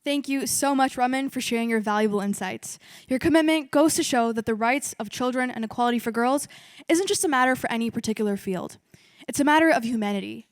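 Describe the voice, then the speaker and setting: A woman's voice: fairly high pitch, fast talker, dry recording, clean studio sound. A woman emceeing an event, reading polished scripted introductions of speakers.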